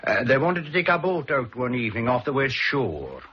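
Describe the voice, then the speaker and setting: An actor playing a plain-spoken, kindly island fisherman in a 1960s radio drama, unhurried and saying "aye". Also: medium pitch, big pitch swings, lightly acted. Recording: dull old broadcast recording with faint hiss.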